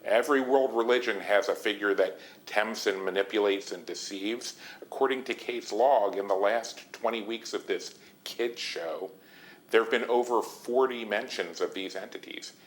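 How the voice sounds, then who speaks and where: An actor playing a grave, measured male investigator in naturalistic game dialogue. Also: lowish voice, natural voice. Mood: concerned. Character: grave male investigator alarmed by hidden cult messages. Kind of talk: naturalistic recorded meeting dialogue. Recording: noisy meeting tape.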